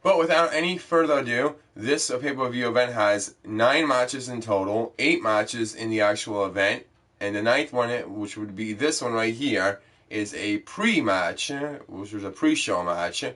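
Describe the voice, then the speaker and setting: A young man with a stuffy, congested voice from a cold, rambling loosely with plenty of fillers as he introduces his gaming stream. His pitch sits in an ordinary middle range. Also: measured pace, a bit bright. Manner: chatty, loose, many fillers. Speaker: gaming vlogger.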